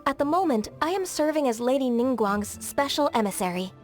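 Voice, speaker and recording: Casting: polite, dutiful young secretary for a game, high pitch, formal and earnest, soft music behind. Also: female voice, animated, brisk. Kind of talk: English game dub cutscene acting.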